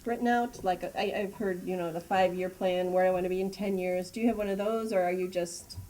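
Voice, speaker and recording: A woman's voice: on the low side, fairly even intonation, a little fast, warm tone, rough recording. A woman co-hosting an interview, asking questions and adding comments in a casual, conversational way.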